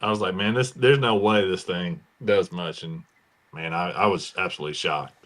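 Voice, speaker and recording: A man's voice: deep voice, moderate pace, fairly bright tone. An American man talking casually and informally as a podcast co-host. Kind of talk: casual chat.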